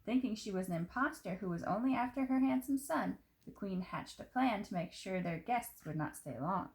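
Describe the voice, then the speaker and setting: Female children's storyteller: expressive read-aloud, very lively pitch, touches of vocal fry. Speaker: storyteller reading a picture book.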